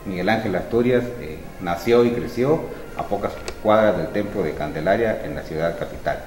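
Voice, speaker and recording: A middle-aged man, a museum spokesman explaining an exhibition in a measured, informative way. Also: deep voice, darkish tone, a little hiss or hum. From Guatemala. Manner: measured, informative, with small restarts. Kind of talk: explanatory museum tour talk. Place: museum hall with exhibits, indoors. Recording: echoey hall with music behind. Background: music.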